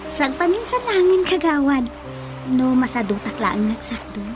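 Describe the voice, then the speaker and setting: An actress playing a familiar, excitable village woman in a radio comedy drama. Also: high-pitched, moderate pace, over music. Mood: chatty.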